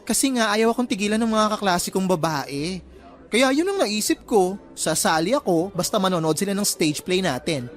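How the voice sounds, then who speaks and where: A man's voice: high-pitched, lively intonation, moderate pace. Flamboyant college guy, sassy, campy, playful swoops, radio drama, light music behind. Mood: boastful, sassy. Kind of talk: Filipino radio drama acting.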